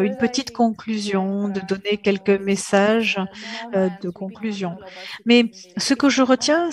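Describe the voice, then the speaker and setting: A woman moderating an online panel discussion, speaking hesitantly with frequent filler pauses and restarts. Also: mid-range voice, a little mellow. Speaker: forum moderator.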